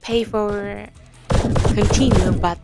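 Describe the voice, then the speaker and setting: Young woman, mid-pitched, slow and halting, casual game tutorial host with nervous little laughs. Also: fairly even intonation, gravelly, loud music behind the voice. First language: Korean. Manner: hesitant, many fillers, soft nervous laughs. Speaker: audio game tutorial presenter.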